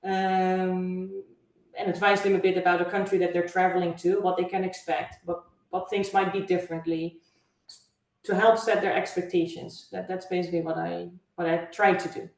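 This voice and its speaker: A woman from the Netherlands with a Dutch accent, a guest answering interview questions in a measured, thoughtful way with frequent fillers. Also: on the low side, flat delivery, creaky. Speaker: guest, travel agency owner. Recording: echoey room, far from mic.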